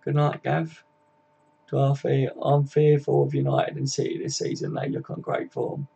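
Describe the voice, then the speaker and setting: A man's voice: mid-range voice, completely flat delivery, moderate pace, vocal fry, mellow, a little hiss or hum. A man, hosting a casual live stream, chatting matily with viewers and laughing easily. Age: 20s.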